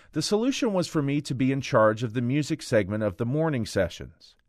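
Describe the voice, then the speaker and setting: A man reads a written academic memoir aloud in a formal, measured, carefully phrased way. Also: mid-range voice, no echo, clean studio sound. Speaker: reader of a written paper. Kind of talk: scripted narration of a first-person academic memoir.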